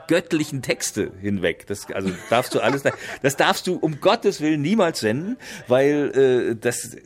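A man's voice: mid-range voice, big pitch swings, unhurried. A man explaining literature informally and emphatically, opinionated, often checking in with short tag questions. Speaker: literary expert. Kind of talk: informal interview commentary on literature.

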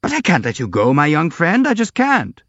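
Blustering elderly general, grand and self-pitying, raised pitch, dry and muffled recording; male reader voicing. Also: expressive, moderate pace, dry recording, lightly acted. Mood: pompous. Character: elderly blustering boastful army general, pompous.

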